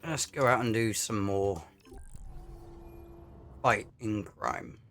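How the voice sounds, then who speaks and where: A man giving casual, low-key commentary on a video game as he plays, often muttering to himself. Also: slow pace, fairly bright tone. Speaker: gaming YouTuber. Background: game music.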